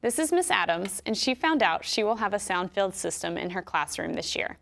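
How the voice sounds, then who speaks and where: A woman with an American accent giving scripted instructions in a clear, measured way. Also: medium pitch, big pitch swings, fairly bright tone. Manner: clear, measured explanatory delivery. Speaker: instructional video narrator.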